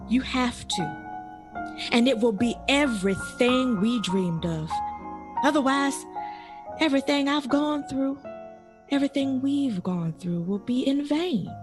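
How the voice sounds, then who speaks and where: A woman's voice: high-pitched, lively intonation, measured pace, over music. A woman acting in an audio drama as a loving, insistent, long-suffering middle-aged mother of a grown son. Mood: pleading, firm.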